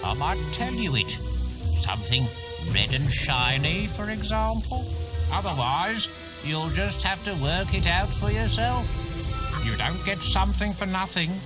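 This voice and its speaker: A man's voice: sits high, expressive, a little slow, poor audio. An actor, a man, playing a sly, greedy, wheedling villager who bargains in a fantasy video game. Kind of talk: video game character acting. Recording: very loud music behind the voice.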